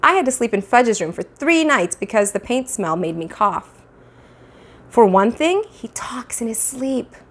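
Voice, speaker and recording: Female children's read-aloud narrator, thirties, very expressive, wide swings of pitch. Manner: animated, acting out dialogue. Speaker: teacher reading aloud. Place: small indoor room at home. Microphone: clip-on lapel mic.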